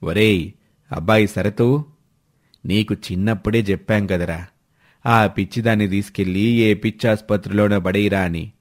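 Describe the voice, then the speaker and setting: A man voicing a blunt, overbearing older uncle who scolds colloquially in an animated village story. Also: lowish voice, normal speed, long pauses, voice pushed down, close to an everyday voice. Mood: scolding, pushy.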